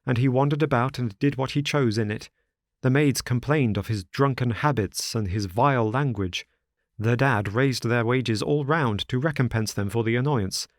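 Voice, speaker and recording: A man doing an anxious, careworn young gentleman. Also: medium pitch, no room sound, studio quality, voice pushed up, natural voice. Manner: urgent, distressed pleading.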